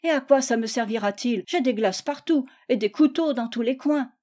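A woman's voice: on the high side, moderate pace, dark tone, close to an everyday voice. A woman voicing a spoiled, wheedling little girl in a storybook, pouting and insistent. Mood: sulky, defiant.

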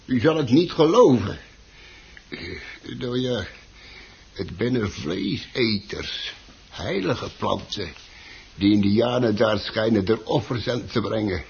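An actor in a radio play playing a chatty, grumbling plant shop keeper who hesitates, stammers and repeats himself. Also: male voice, lowish voice, animated, slow, creaky, long pauses, a little mellow, full character voice. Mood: earnest. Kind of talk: Dutch radio play acting. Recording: dull, muffled old radio recording.

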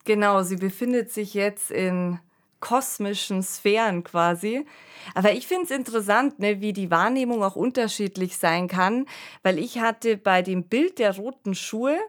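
A woman's voice: mid-range voice, a little slow. A woman hosting a podcast discussion in a conversational, reflective way, thinking aloud. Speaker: podcast host. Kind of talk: conversational discussion of a radio play.

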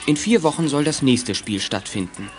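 A man's voice: medium pitch, lively intonation, moderate pace. A man narrating a children's adventure radio play in a scripted storytelling style. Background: music.

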